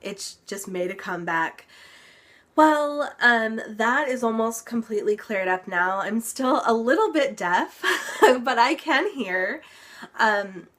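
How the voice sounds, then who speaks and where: Slow, unhurried young woman vlogger, stuffy-nosed and recovering, rambling casual update. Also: fairly high pitch, animated, fairly bright tone. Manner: chatty, lots of ums, occasional laughs. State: congested, recovering from illness. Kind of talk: casual health update vlog.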